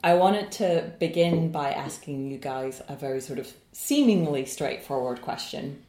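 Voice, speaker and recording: A woman's voice: low voice, animated, a little slow. A woman hosting a poetry podcast, reading an introduction to her guests and then asking them questions. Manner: warm, enthusiastic introductions. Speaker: podcast host. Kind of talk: scripted intro and interview questions.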